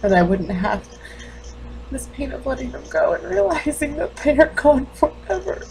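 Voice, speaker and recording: A woman playing a grieving, defensive mother in a dramatic monologue, halting and intense. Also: sits high, very animated, vocal fry, a little mellow, slight background noise, pitched above the performer's own voice, exaggerated voice, poor audio. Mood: anguished, grieving. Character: grieving, defensive mother confronting her doctor.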